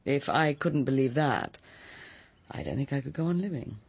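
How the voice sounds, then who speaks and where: An actor playing a reserved, unsentimental British character in a radio drama, with a dry and measured manner. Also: male voice, mid-range voice, animated, vocal fry, husky. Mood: reflective.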